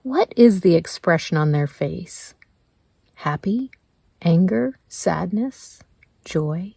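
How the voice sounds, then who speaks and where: A woman leading a guided meditation in a gentle, measured way, leaving pauses between her prompts. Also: lowish voice, animated, vocal fry, breathy edge, dry recording, studio quality. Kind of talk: slow guided meditation narration.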